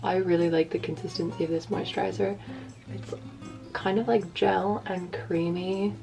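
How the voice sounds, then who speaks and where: A young woman with a raspy voice, rambling casually and self-deprecatingly to the camera as a lifestyle vlogger. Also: lowish voice, steady tone, normal speed, mellow, soft background music.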